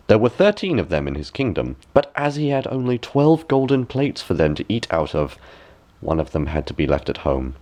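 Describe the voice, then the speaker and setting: A man narrating a bedtime fairy tale as a storyteller, with expressive, measured and deliberate phrasing. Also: deep voice. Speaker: storyteller, audiobook reader.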